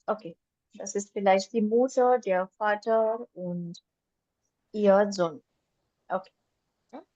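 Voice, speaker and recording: A woman's voice: medium pitch, fairly even intonation, takes it slowly, warm tone, no room sound, highs cut off. A woman teaching a German class online, patiently explaining step by step and mixing English with German.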